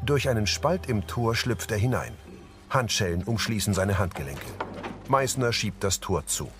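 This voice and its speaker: Guy narrating tv scenes for audio description, lowish voice, lively intonation, music under it. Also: normal speed. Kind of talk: scripted present-tense scene narration. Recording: music behind the voice.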